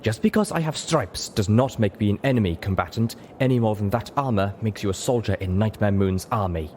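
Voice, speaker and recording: A man's voice: fairly low pitch, a little mellow, a little hiss or hum, lightly acted. A man voicing a proud, wary female zebra outsider who speaks cautiously, in an audiobook. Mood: nettled. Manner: slow, cautious. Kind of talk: audiobook character voice.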